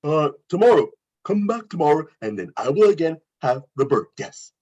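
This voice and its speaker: A man's voice: on the high side, very animated, moderate pace, darkish tone. A man playing an eager, simple-minded talking dog in a cartoon script read, with literal, oddly formal phrasing, on a dull lo-fi microphone. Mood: evasive. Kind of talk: amateur table read of an animated film script.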